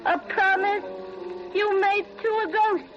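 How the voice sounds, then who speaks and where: A woman acting a desperate young hard-bitten accomplice in a 1940s radio melodrama, deliberate and dramatic. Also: extremely high pitch, flat delivery. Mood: defiant. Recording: muffled vintage radio, heavy music.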